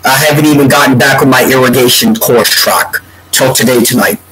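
A man in his forties with a congested, stuffy nose, rambling to a webcam from a room at home in a meandering, drawn-out way. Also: medium pitch, fairly even intonation, a crowd in the background, rough recording. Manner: meandering, drawn-out, breaks into humming a tune. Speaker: vlogger. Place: home room with bookshelves, indoors. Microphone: webcam at desk.

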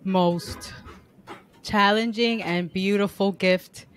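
A woman in her forties from New York, a podcast guest talking in a relaxed, unhurried way from home into a stand mic on a video call. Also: mid-range voice. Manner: relaxed, unhurried, laughs easily. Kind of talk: casual interview conversation. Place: home room. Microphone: studio mic on a stand, headphones, video call.

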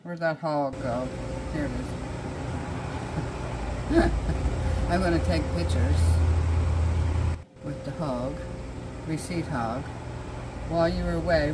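Slow, low-voiced older woman vlogging. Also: heavy background noise. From Canada. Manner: rambling, thinking aloud, chatty. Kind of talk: casual vlog chat. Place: small cluttered home kitchen and bedroom, indoors.

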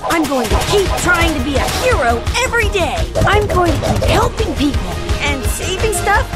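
A woman's voice: extremely high pitch, sing-song, moderate pace. A woman voicing a small, earnest, eager boy hero in a cartoon. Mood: determined. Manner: earnest, plucky. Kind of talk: cartoon voice acting. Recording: music under the voice.

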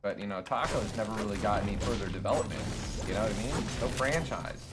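A man's voice: fairly low pitch, fast talker, very noisy. A young man, a game streamer, chatting casually with viewers while he plays, thanking them and griping about lag, a little congested. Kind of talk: casual live-stream chat while playing. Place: home streaming room, indoors.

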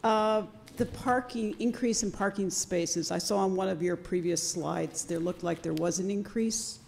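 An older woman at a standing microphone in a town meeting room asks questions in a halting, curious way. Her voice is gravelly, with a faint room hum behind. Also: lowish voice, fairly even intonation, darkish tone. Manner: halting, inquisitive. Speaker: resident asking questions. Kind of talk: public comment.

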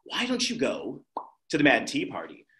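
A woman voicing a sly, mannered male cat with a low, hushed drawl. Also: wildly swooping pitch, normal speed, heavily acted. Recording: roomy echo.

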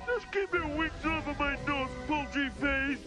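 A man's voice: very high voice, measured pace, loud music behind the voice. A man voicing a dim, grumbling robot henchman in a cartoon, whining his complaints. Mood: whiny complaint. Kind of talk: cartoon villain voice acting.

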